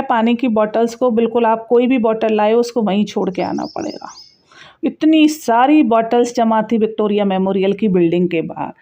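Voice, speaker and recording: High-voiced woman vlogger, muffled sound. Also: a little mellow. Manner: chatty, explanatory, addresses viewers directly. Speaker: travel vlogger narrating a sightseeing tour. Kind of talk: casual vlog voice-over.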